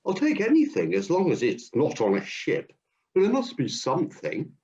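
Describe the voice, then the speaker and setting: A man's voice: on the high side, huge pitch swings, moderate pace, darkish tone. Hercules, a man playing a cocky strongman. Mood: glum. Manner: cheerfully overconfident, matter-of-fact. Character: Hercules, strong, keen, job-hunting hero. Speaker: job seeker. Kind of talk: comic radio play acting. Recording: dull lo-fi audio.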